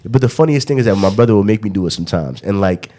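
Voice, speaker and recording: Young guy on a podcast, lowish voice, laid back, rambly stories, keeps saying you know what i mean. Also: expressive, very fast, warm tone. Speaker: podcast host. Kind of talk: casual chat.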